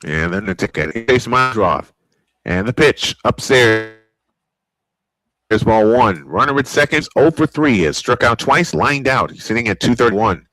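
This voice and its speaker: Grandfatherly man calling baseball, bright voice. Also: medium pitch, a little slow, no echo, poor audio. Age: older. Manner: old-school broadcast style, rising excitement on big plays. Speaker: play-by-play announcer. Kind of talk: baseball play-by-play commentary.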